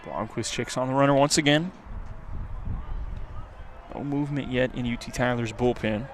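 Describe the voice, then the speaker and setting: A man's voice: medium pitch, normal speed. Man calling baseball, outdoor noise behind. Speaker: play-by-play announcer. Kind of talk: live baseball radio commentary. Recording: clear outdoor ambient noise.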